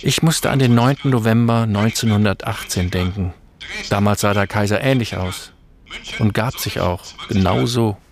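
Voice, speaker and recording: A man's voice: fairly low pitch, moderate pace, lightly acted. A man playing a dry, sober and disapproving court aide who narrates a radio play in polite, understated diary style. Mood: wry.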